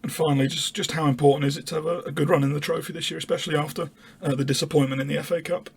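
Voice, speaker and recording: A man's voice: a little high, fairly even intonation, racing, creaky, husky. A man working as an interviewer, putting prepared questions to a guest.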